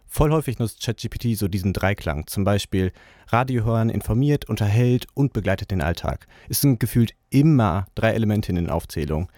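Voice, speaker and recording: A young male radio presenter talks straight into a close studio microphone with a relaxed, chatty delivery and casual youthful slang. The sound is dry and direct, with no room echo around his voice. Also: lowish voice, brisk. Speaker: radio host.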